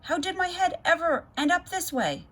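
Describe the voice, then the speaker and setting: A dramatic little storybook girl, acted out by a woman reader, pitched high and full of dismay. She exclaims and pleads in a screechy way. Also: animated, moderate pace, a bit bright.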